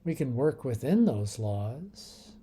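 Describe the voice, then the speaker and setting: A man leading a guided meditation in a calm, unhurried manner, leaving long pauses between short phrases. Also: mid-range voice, slight background noise.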